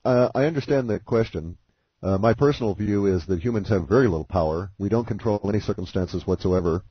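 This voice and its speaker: Husky-voiced man explaining slowly over a call. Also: fairly low pitch, no room sound. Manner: deliberate, philosophical, speculative. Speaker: guest speaker on a conference call. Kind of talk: measured explanatory monologue. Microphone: phone line. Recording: muffled phone-call audio.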